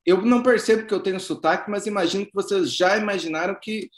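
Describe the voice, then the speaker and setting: A man's voice: high-pitched, fast, echoey room. A man, a psychologist, gives an informal online lecture, chatty and full of fillers and restarts. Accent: non-Bahian Brazilian Portuguese.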